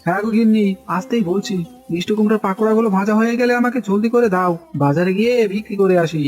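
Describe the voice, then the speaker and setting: A man's voice: high-pitched, racing, darkish tone. A man voicing an earnest, hard-working village snack seller in a cartoon, with emphatic, exclaiming delivery. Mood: eager. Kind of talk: dramatized cartoon dialogue. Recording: music bed behind the voice.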